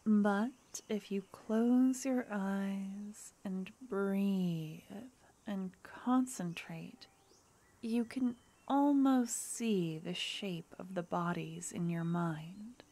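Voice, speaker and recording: A woman voicing a gentle young necromancer girlfriend in an ASMR fantasy roleplay, speaking softly and often whispering. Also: mid-range voice, very slow. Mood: tender. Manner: soft and intimate, often whispering.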